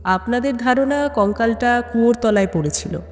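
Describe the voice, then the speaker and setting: A woman voicing a calm, sharp young female detective in an audio story, measured and confident in her reasoning. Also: on the high side, long reverb tail, close to an everyday voice. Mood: calm, probing.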